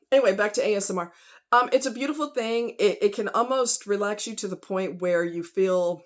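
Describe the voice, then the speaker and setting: A woman's voice: medium pitch, fairly bright tone. Casual woman vlogger, chatty and slangy. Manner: conversational, rhetorical questions, informal slang. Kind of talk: casual rambling opinion vlog.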